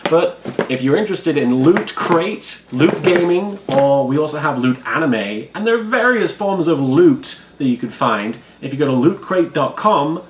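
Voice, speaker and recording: A man with a froggy, hoarse voice, chatting casually and self-deprecatingly as a YouTuber unboxing a subscription box. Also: a little high, lively intonation, moderate pace, background noise. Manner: self-deprecating, rambling, quiet-natured. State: hoarse, froggy voice after studio work. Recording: echoey room with faint background ambience.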